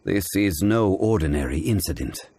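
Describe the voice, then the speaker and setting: A man voicing a composed, wise gentleman in a video game, speaking in a measured, formal, grave manner. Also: low-pitched, lively intonation, husky, dry recording, exaggerated voice.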